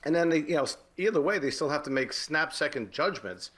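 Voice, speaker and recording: A man with an American accent, a former police detective giving halting, explanatory commentary in a live news interview. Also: fairly high pitch, animated, fairly bright tone.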